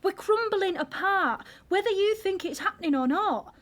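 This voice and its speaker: A high, earnest young British woman's voice played by an actress in an audio drama. She is a frustrated, hurt girlfriend who speaks emotionally and pleadingly. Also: animated, normal speed. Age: young adult.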